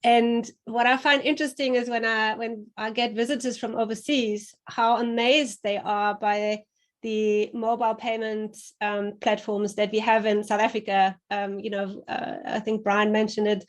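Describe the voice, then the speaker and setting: A woman's voice: fairly high pitch, little pitch movement, moderate pace. German-accented woman on a panel. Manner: thoughtful, many fillers and restarts. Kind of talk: panel discussion.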